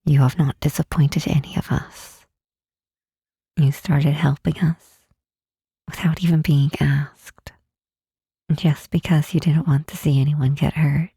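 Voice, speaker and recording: Woman as a breathy, whispering gentle demon queen. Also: low voice, fairly even intonation, no room sound, exaggerated voice. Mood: tender, reassuring. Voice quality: soft, breathy, husky half-whisper. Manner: slow, soothing, earnest reassurance. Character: gentle, reassuring mortal Demon Queen comforting a burnt-out hero. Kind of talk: ASMR comfort roleplay.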